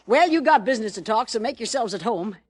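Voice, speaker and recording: A folksy saloon gal. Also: female voice, fairly high pitch, expressive, quick pace, darkish tone, no echo, clean studio sound. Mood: flirtatious. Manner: folksy drawl. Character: friendly flirtatious frontier saloon woman. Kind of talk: 1950s radio drama acting.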